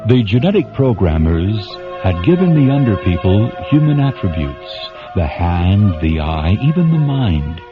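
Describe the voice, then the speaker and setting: Expressive male narrator over music. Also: lowish voice, a little slow, hardly any pauses. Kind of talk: scripted dramatic narration. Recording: dull radio recording with music behind.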